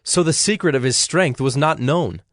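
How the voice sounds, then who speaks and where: A man narrating dramatized scripture in a measured storytelling manner. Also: fairly high pitch, dry recording. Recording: dry studio with music underneath. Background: music.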